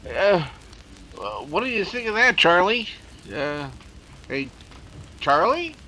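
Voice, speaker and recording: A woman acts out a detective's slangy, jittery sidekick in a vintage radio mystery. The voice is fairly low and forceful, hesitant yet animated, with slight background noise. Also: slow pace, full character voice. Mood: uneasy. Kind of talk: 1930s radio mystery acting.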